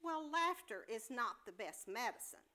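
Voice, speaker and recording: A woman's voice: on the high side, animated, moderate pace, bright, forceful tone. A woman playing a brusque, bossy hospital nurse in an amateur play reading, curt and dismissive as she scolds.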